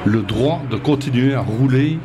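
A man being interviewed on outdoor radio, speaking in a measured, hesitant way with many fillers. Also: medium pitch, crowd noise. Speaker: federation president, interview guest.

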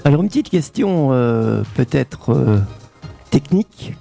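A man's voice: medium pitch, very animated, a little slow, gravelly, mellow, soft background music. A man hosting a radio interview, asking questions in a friendly, chatty way. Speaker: radio host.

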